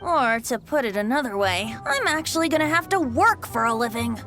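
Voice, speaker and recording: A woman's voice: sits high, big pitch swings, brisk, bright, forceful tone, soft background music, full character voice. A woman voicing a mischievous young male bard in a video game, theatrical, flowery and teasing. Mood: playful bargaining. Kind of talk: animated video game voice acting.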